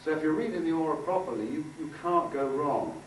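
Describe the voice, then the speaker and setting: A man giving an informal workshop talk, halting and conversational, repeating phrases for emphasis. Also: a little high, animated. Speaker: workshop speaker and aura healer. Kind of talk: informal talk with demonstration and guided visualisation. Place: indoor room before a group. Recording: echoey room, distant mic, faint noise.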